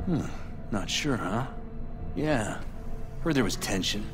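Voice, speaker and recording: A pragmatic, unflappable reporter in a cinematic game. His lowish voice is measured and laconic, always digging for more. Music runs clearly underneath. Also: animated. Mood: cool, probing. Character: cool, pragmatic male investigative reporter. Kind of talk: cinematic video game acting.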